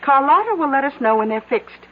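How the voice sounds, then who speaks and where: A woman's voice: high voice, big pitch swings, a little fast. An actress with an American accent playing an earnest, plucky small-town woman in an old-time radio drama, on a narrow, dated recording. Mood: determined.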